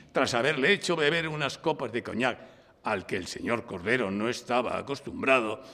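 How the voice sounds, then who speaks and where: A man's voice: mid-range voice, pushed and bright. An actor with an accent from Spain playing an oratorical male defence lawyer who argues and narrates his case in a courtroom radio drama. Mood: suave, probing. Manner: oratorical, insistent, declamatory. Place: public library, before a live audience. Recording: reverberant hall, live stage recording.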